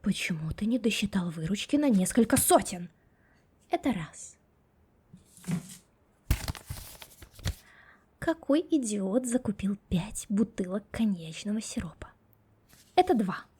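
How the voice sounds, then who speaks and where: A young woman voicing a stern, sharp-tongued female office boss in an ASMR roleplay, whispering softly close to the mic. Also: medium pitch, a little hiss or hum, lightly acted. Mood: stern, sarcastic. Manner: scolding and sarcastic to staff.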